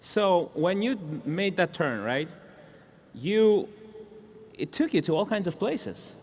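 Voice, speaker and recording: A man from Russia, interviewing a fellow minister in a friendly, halting way with many restarts. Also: sits high, sing-song, a little mellow, a little hiss or hum. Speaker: interviewer, evangelist. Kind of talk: conversational interview.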